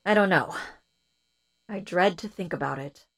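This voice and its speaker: A woman's voice: on the low side, normal speed, gravelly. An actress playing a weary female revolutionary captive with a bitter, sardonic manner.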